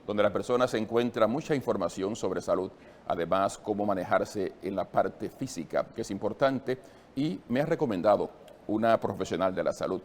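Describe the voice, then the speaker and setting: A man hosting a talk show interview, chatting in a lively, conversational way. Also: fairly low pitch, normal speed, slight background noise.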